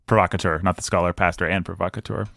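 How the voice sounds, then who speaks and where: A man's voice: deep voice, level tone, quick pace, creaky, fairly bright tone, a little hiss or hum. A man hosting a casual podcast, making playful, mock-grandiose introductions of his co-hosts. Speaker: podcast host. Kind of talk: casual chat.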